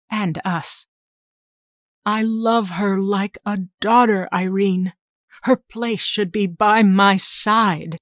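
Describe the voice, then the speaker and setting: An actress playing a forceful, sharp-tongued mutant schemer who poses as a government doctor in an audio drama. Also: female voice, mid-range voice, no room sound, clean studio sound, close to an everyday voice. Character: commanding, defiant shapeshifting mutant schemer posing as government doctor.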